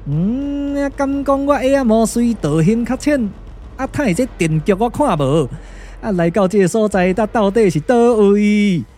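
A man's voice: high-pitched, animated, a little slow, warm tone. A man voicing a cowardly comic impostor, muttering and whining nervously in a Taiwanese puppet radio drama. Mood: nervous, puzzled. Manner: muttering, chattery, whiny. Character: cowardly comic male impostor disguised as a strategist.

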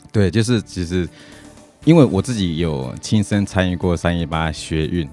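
A man with a low-pitched voice and a Taiwanese accent talks as a radio guest. His delivery is casual, earnest and conversational, with faint music in the background. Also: moderate pace, mellow. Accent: Taiwanese Mandarin.

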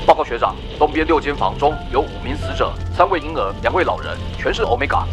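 A man acting in a Chinese audio drama as a brisk, dutiful junior police officer. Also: medium pitch, animated, over music, natural voice. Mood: urgent, dutiful.

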